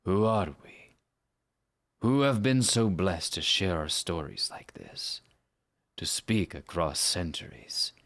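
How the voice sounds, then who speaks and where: Man voicing a deep, solemn, world-weary assassin. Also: lively intonation, fairly bright tone, heavily acted. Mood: solemn, wistful. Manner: measured, grave, wistful delivery. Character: solemn, world-weary older male assassin reflecting on his life.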